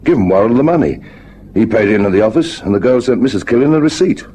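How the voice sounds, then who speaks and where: A hesitant, helpful office employee in an old radio drama. The voice is lowish, dark and husky, feeling its way through short, clipped answers. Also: male voice, expressive, fast talker. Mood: helpful, searching memory. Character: hesitant, helpful male repair firm employee. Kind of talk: vintage radio drama acting.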